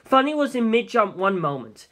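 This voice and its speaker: A man narrates scripted fiction in the first person. His voice is rather high for a man. It has a bright, forceful tone suited to an audiobook. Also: very animated, moderate pace.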